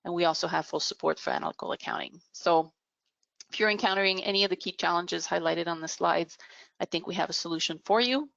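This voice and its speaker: Low, creaky-voiced woman presenting a demo. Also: fast talker, a bit bright, no room sound. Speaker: software product demonstrator. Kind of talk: explanatory business software demo. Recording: dull, muffled lo-fi audio.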